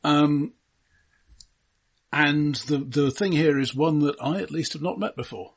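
Guy on a film podcast, bit husky, picks his words carefully, kinda halting. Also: fairly high pitch. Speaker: film discussion podcast co-host. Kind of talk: casual analytical film discussion.

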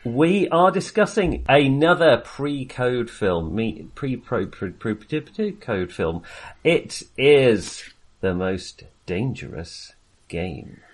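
A man co-hosting a podcast, chatting casually about films in a halting, jokey, self-deprecating way. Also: mid-range voice, sing-song. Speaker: podcast co-host. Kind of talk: casual film discussion.

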